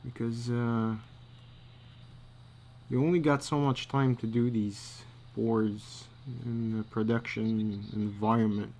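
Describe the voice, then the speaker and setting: A man giving a casual drawing tutorial, thinking aloud in a halting, muttering way as he works. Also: medium pitch, flat delivery, darkish tone. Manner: halting, muttering to himself while working. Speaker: storyboard artist, tutor.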